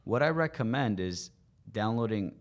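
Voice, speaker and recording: A man's voice: fairly low pitch, animated, moderate pace. A man in his twenties, a language coach, explaining his advice in a casual podcast interview, with many "you know" fillers. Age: 20s.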